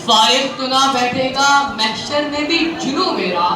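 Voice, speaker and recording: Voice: high voice, expressive, a little slow, crowd noise. Echoing Pakistani student orator, distant and declamatory. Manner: theatrical, rhetorical, with repeated phrases for emphasis. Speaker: student speech contestant. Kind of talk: fiery declamatory Urdu speech with poetry. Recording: very echoey, distant mic, noisy venue recording.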